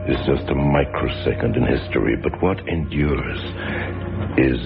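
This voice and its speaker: An actor playing a gentle, solemn doctor with a deep, gravelly voice, speaking slowly and consolingly in a radio drama. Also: male voice, exaggerated voice. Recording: muffled old radio recording with music.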